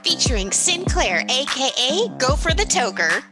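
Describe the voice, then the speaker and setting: Woman as promo announcer, slightly high pitch, lively, punchy, scripted radio spot, music behind. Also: moderate pace. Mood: upbeat.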